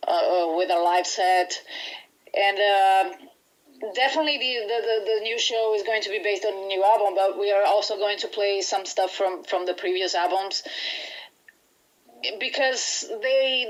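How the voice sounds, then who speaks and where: A woman's voice: mid-range voice, rough recording. Chatty Brazilian woman in an interview. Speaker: band musician being interviewed. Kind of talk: casual interview chat.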